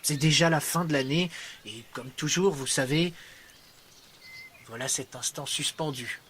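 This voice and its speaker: A man tells a poetic monologue in a slightly high voice, with dramatic storytelling delivery that shifts between hushed and intense. Some background noise sits behind the voice. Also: a little slow. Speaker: writer and storyteller.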